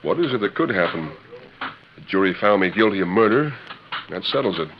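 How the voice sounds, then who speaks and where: A man's voice: lowish voice, animated, brisk, highs cut off, natural voice. A man acting a bitter, earnest condemned prisoner in an old western radio drama. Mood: bitter resignation. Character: condemned man in jail insisting on his innocence. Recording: noisy band-limited old radio recording.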